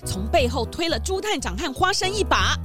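Woman narrator for a kids mystery, slightly high voice, really lively intonation, music behind. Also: normal speed. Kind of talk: scripted storytelling narration for children's mystery drama.

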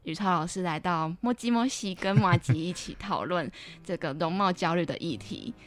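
A woman's voice: on the low side, normal speed. A woman with a Taiwanese Mandarin accent hosting a podcast in a conversational, encouraging and reassuring way. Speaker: podcast host.

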